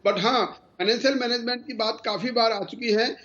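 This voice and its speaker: An older man comments as a guest on a news panel. His delivery is measured, like a former police officer assessing a case. The feed is dull and lo-fi. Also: very high voice, steady tone, fairly bright tone.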